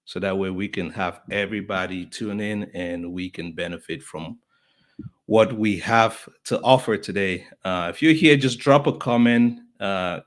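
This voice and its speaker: Chatty lower-voiced podcast host. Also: male voice. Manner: chatty, friendly, lots of fillers; greets viewers by name. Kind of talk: casual live-stream welcome.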